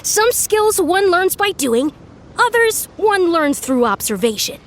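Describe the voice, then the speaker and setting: Small grandiose mentor, anime game, very high voice, lively intonation, formal, instructive. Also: female voice, unhurried, exaggerated voice. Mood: sagely.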